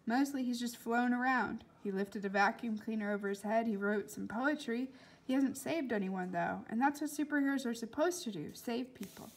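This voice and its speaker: A woman's voice: on the high side, normal speed, poor audio. A woman voicing a curious, skeptical young girl in a storybook, blunt and questioning. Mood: earnest.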